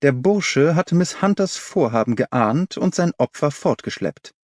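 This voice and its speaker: A man's voice: a little high, animated, a little slow, a little mellow, no room sound, clean studio sound. A man voicing a brilliant, confident detective in an audiobook, explaining in an assured, didactic way. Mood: urgent, alert. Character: brilliant male detective, confident, analytical, commanding.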